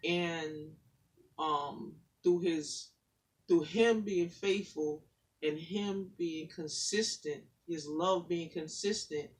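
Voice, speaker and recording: A woman poet who talks softly and haltingly in a faith-centered chat, then reads her own poem in a rhythmic, declamatory spoken word style. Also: fairly low pitch, breathy edge. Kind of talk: spoken word poetry reading in a casual faith conversation.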